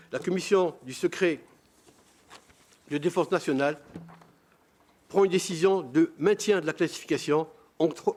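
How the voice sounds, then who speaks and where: An older man, a round-table panelist, reading a prepared formal statement in a measured, grave way, stumbling now and then on a word. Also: fairly high pitch, a little mellow.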